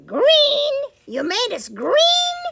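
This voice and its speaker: A woman voicing a sensible male pig who gets easily upset, in a high shrill voice. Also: huge pitch swings, a little slow, gravelly, a bit bright, breathy edge, full character voice. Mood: shrill dismay.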